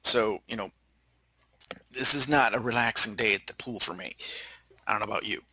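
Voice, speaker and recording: Very bright, forceful man, dry sound. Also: lowish voice, moderate pace, slightly rough, dry recording, rough recording. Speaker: tabletop game player. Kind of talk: casual in-game discussion.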